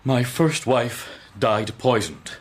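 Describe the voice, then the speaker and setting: A wounded British Victorian gentleman in a radio drama. His mid-pitched voice is very husky, his manner formal, intense and sardonic, that of a husband guarding a secret. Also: lively intonation, slow. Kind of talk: period radio drama acting.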